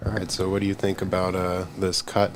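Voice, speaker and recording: Deep-voiced pool commentator. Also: male voice, completely flat delivery, a little fast, noisy background. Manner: easygoing, asks questions and fills with "uh". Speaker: tournament commentator. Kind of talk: live sports commentary. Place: commentary booth at a pool tournament.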